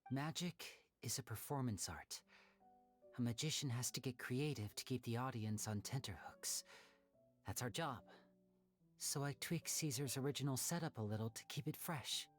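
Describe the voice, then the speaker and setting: A young male magician with a very deep register for a female voice. The delivery is soft, breathy and wistful, a reflective showman in a game drama, over faint music. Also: moderate pace. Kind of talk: dramatic video game voice acting.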